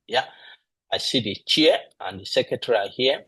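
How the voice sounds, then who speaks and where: A man presenting a board at an online ceremony, speaking haltingly with frequent fillers. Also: mid-range voice, animated, pushed and bright, husky, dry recording, low-quality recording. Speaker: master of ceremonies. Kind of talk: virtual ceremony hosting.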